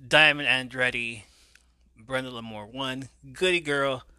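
A man hosting a podcast, giving relaxed, rambling shout-outs to listeners and reading out their names in a voice he says people find soothing. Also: mid-range voice, a little slow, clean tone, no echo, studio quality. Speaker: podcast host.

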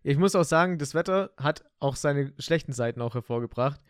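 A young man chats slangily on a podcast, his voice a touch higher than most men's. His talk is excitable and he laughs often. The sound is close and dry. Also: fairly quick, clear, resonant tone, no echo. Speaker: podcast co-host. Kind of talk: casual chat.

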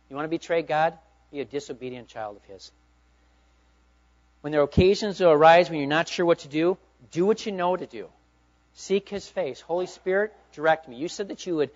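A middle-aged man preaches with a notably high voice, pressing his points with emphatic bursts and then falling to hushed asides. The recording is dull and lo-fi, with the top end muffled. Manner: emphatic preaching, swinging between loud urging and hushed asides. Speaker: pastor. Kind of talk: sermon. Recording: dull lo-fi sound.

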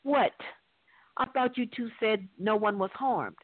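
A story reader voicing a gentle, concerned mother with a questioning manner. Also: mid-range voice, measured pace, breathy edge, no echo. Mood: shocked. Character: concerned, gentle mother, anxious about harm. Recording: thin, dry phone-line sound.

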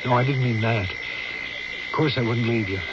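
A troubled widowed father played by a man with a medium-pitched voice, earnest and sparing with words. It is classic radio drama, on a muffled recording thick with noise. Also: unhurried, natural voice. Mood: tender, reassuring. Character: troubled, devoted widowed father of an ailing daughter. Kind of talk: old-time radio drama acting and narration. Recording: noisy, dull old radio drama recording.

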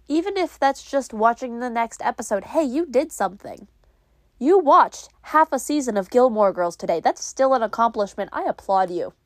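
A young woman hosts her own podcast, talking casually and wandering off on tangents with plenty of self-mockery. She has a cold, so her voice comes out stuffy and a little nasal. Her voice sits slightly high, and the recording is close and dry. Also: a little mellow, no echo. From New England, US. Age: young adult. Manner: rambling, chatty, self-mocking. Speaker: podcast host. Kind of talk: casual solo podcast chat.

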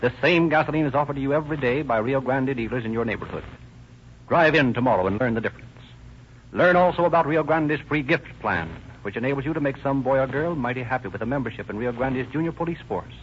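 A man announcing and narrating in a formal, declarative 1930s radio style. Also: medium pitch. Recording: dull, noisy old radio recording.

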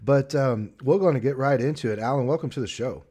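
A man's voice: mid-range voice, brisk, mellow, slightly rough. An American man hosting a casual interview podcast, talking in a rambling, hesitant way. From Arkansas, United States. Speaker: podcast host.

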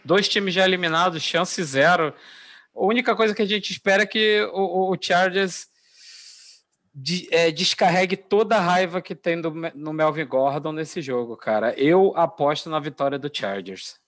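Male co-host, relaxed analytical chatter, slightly high voice. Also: moderate pace. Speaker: podcast co-host, NFL picks. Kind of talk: casual sports chat.

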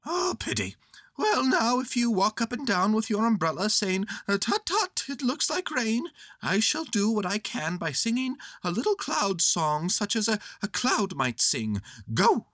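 A woman voicing an earnest, scheming, silly male toy bear from a children's storybook, with excitable, self-important pronouncements. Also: a little high, measured pace, dry recording, clean studio sound, voice pushed up, exaggerated voice. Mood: bossy, fretful.

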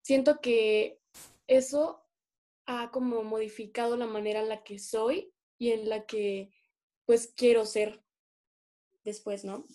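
A young woman from Colombia talks through a casual podcast in an earnest, filler-heavy way. Her voice sits a little high with a soft, mellow tone. It sounds like a remote call recording. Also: steady tone, slow pace, pauses often. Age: young adult. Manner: chatty, earnest, with many fillers. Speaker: podcast co-host.

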